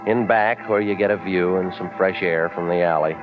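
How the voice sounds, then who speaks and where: An actor in 1940s radio drama playing a cynical, wisecracking private eye, terse and deadpan in narration and dialogue. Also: male voice, deep voice, moderate pace, lightly acted. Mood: deadpan, jaded. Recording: muffled vintage 1940s radio with music.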